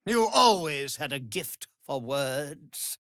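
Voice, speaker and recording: An actor playing a cruel, imperious aristocratic vampire master in a video game, speaking with theatrical contempt and menace, savoring every word. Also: male voice, mid-range voice, sing-song, takes it slowly, a bit bright, dry recording, clean studio sound, full character voice. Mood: disdainful. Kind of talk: dramatic video game villain acting.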